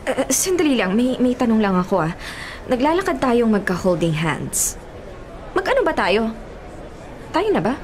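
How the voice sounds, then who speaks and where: A Filipino woman acting an anxious, doubting young girlfriend in a radio drama, hesitant, coy and expressive. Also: fairly high pitch, normal speed, pauses often, slight background noise. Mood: nervous, flustered. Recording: music playing behind the voice.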